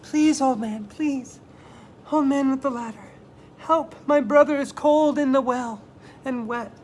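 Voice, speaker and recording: A man's voice: very high-pitched, unhurried, slight background noise, pitched above the performer's own voice. A man voicing a small, frantic boy pleading urgently in a storybook reading, calling out breathlessly. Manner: shouting long chant-like names breathlessly.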